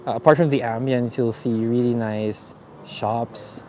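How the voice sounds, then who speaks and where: A man from the Philippines hosting a live walking tour outdoors, talking in a relaxed, chatty way with many fillers. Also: mid-range voice, a little slow. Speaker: vlogger. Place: city streets outdoors. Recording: faint street noise outdoors. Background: street traffic and ambience.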